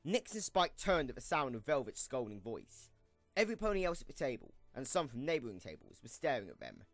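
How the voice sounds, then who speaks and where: A man's voice: mid-range voice, very animated, brisk, fairly bright tone, no room sound. A man reading scripted storybook narration as the narrator of an audio drama. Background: music.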